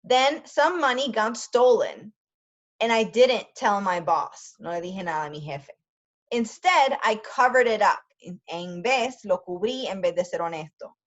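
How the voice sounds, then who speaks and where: Woman, mid-pitched voice, read-along lesson delivery, explanatory asides, teacher, muffled low-fidelity recording. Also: expressive, normal speed. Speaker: literacy teacher reading aloud to English learners.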